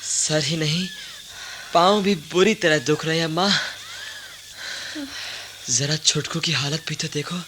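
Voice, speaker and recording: A man's voice: on the high side, steady tone, takes it slowly, pauses often, background noise. A man playing an exhausted young village boy in a Hindi radio play, weary and plaintive as he talks. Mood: weary, complaining. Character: exhausted young village boy carrying heavy wood bundles.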